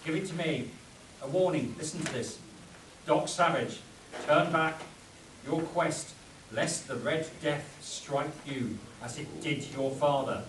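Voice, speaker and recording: A man's voice: a little high, takes it slowly, a bit bright. Man playing commanding adventurer hero, echoey distant hall. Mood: grave. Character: commanding adventurer hero Doc, leading his men. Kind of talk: spoof radio play read live on stage. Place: live stage performance at a convention. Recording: echoey convention room, distant mic, audience noise.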